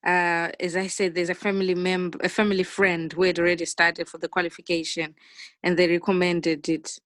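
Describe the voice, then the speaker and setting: A woman's voice: lowish voice, level tone, a little fast, a bit bright, dry recording, studio quality. A young woman with a Zimbabwean accent, answering questions as a guest in a conversational interview over a video call. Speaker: interview guest, finance analyst.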